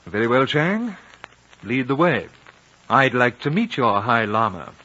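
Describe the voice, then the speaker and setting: An actor playing a polite, self-possessed traveler with an articulate, urbane manner, in 1950 radio drama acting and narration. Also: male voice, medium pitch, sing-song, unhurried, heavy background noise. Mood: polite, eager. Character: self-possessed British traveler, a man, curious, polite, firm. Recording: dull 1950 radio broadcast.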